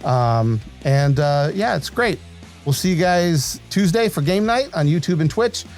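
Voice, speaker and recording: A man's voice: on the high side, expressive, unhurried, a little mellow, faint music behind. An American man hosting a podcast, talking casually in a rambling, chatty, unscripted way. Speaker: podcast host. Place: small fenced-off area beside a living room at home.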